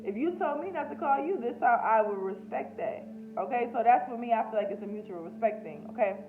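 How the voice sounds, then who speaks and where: A woman's voice: a little high, lively intonation, brisk, narrow, tinny audio, faint music behind. An American woman talking off the cuff in a personal vlog, candid, emotional and slangy, with plenty of swearing. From United States. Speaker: YouTube spiritual healer and host. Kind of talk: casual, unscripted personal vlog.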